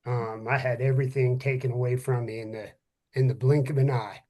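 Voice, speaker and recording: A man's voice: medium pitch, steady tone, brisk, a little mellow. Candid middle-aged man talking from the heart. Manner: earnest, candid, salty-tongued. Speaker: co-host of a first responder podcast. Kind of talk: casual heartfelt talk among friends.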